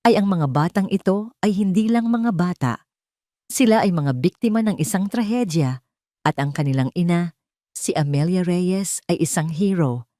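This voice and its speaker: A woman's voice: on the low side, normal speed, dark tone, no echo, clean studio sound, natural voice. Earnest male doctor, played by a woman narrator, defiant and declamatory, for dramatic audio story. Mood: bold, earnest.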